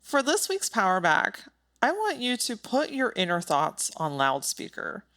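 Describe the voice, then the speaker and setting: A woman's voice: medium pitch, wildly swooping pitch, a little slow, a bit bright. A woman hosting a solo motivational podcast, speaking in an emphatic, direct and informal way with some casual swearing. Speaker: podcast host.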